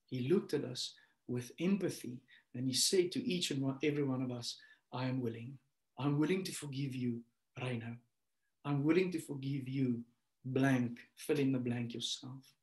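A man in his thirties, a pastor giving a sermon over a webcam from a small room, climbing to loud emphatic peaks and dropping to a soft prayer. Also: mid-range voice, normal speed. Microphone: webcam on a video call. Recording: echoey room.

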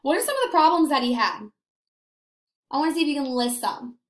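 High-voiced, animated young woman teacher. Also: a little fast. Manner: animated, encouraging, emphatic. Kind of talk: read-aloud lesson for young children. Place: small indoor room. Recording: echoey small room.

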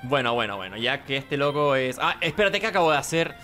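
A man's voice: mid-range voice, lively intonation, a little fast, sharp tone, soft background music. A man giving casual, rambling let's play commentary, talking to himself. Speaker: gaming host.

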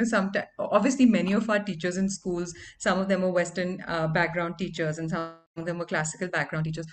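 A woman in her thirties with a deeper voice, telling stories about her music in a lively, conversational way, from a small room at home. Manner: animated, conversational storytelling. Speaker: singer and interview guest. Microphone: microphone on stand in home studio.

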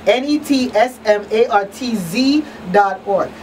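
A woman's voice: fairly high pitch, expressive, unhurried, darkish tone, far from the mic, slight background noise. A middle-aged woman hosting a radio talk show, addressing listeners directly and emphatically. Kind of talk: public-service talk show wrap-up. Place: small indoor radio studio. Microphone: boom-mounted studio microphone.

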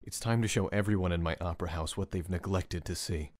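A man's voice: low voice, steady tone, brisk, darkish tone. A man voicing a brooding, intense composer who speaks firmly and persuasively, with faint music behind.

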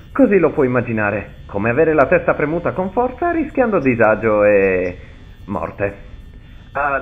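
A man playing a nervous, falsely reassuring night guard leaving a hesitant, rambling phone message in a game fan dub, through a telephone filter. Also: medium pitch. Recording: tinny, narrow-band phone line.

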